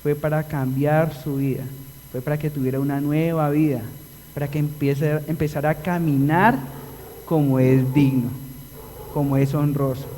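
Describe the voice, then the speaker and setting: A man preaching a live sermon in a chapel, explaining a text and asking the congregation questions, drawing out key words slowly. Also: fairly high pitch, flat delivery, dark tone, slight background noise. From Colombia. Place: church chapel. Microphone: livestream recording. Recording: long reverb of a church hall.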